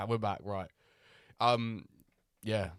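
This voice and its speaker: A man hosting a live stream, chatting loosely with many pauses and fillers, still a little nasal from a cold. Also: lowish voice, fairly even intonation, clean tone, no room sound, clean studio sound. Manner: chatty, rambling, with many ums and restarts. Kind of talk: casual live-stream chat.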